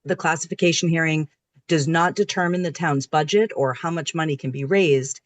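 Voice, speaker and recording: A woman who is hoarse from a cold, speaking on a video call as a town assessor and walking through a formal presentation in a methodical, explanatory way. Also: deep voice, normal speed, no echo. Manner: methodical, explanatory, reading from slides. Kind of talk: formal public meeting presentation.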